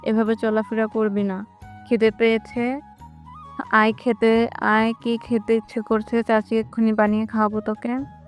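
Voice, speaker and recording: High, even woman as soothing aunt. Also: moderate pace, faint music behind, natural voice. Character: gentle, fussing middle-aged aunt, soothing and motherly. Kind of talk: audio story character dialogue.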